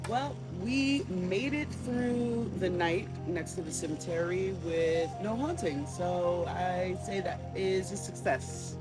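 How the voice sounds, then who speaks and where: Woman co-host, lowish voice, under loud music. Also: slow, hardly any pauses, distant mic, poor audio. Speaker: travel vlog co-host. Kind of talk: casual vlog chat.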